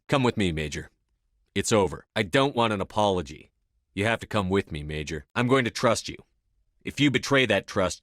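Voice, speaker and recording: A man acting in a video game as a commanding, resolute space soldier, speaking firmly with measured authority. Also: lowish voice, a bit bright, dry recording, studio quality. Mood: stern, commanding. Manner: firm, authoritative, measured delivery. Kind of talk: scripted game dialogue acting.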